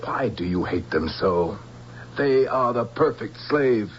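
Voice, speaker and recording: A man playing a grave, resolute general in a radio drama, speaking in a measured, insistent, emphatic way. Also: medium pitch, animated, breathy edge. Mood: firm, urgent. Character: grave, resolute male general of a future army. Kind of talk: theatrical radio drama acting. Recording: dull, hissy old radio recording with hum.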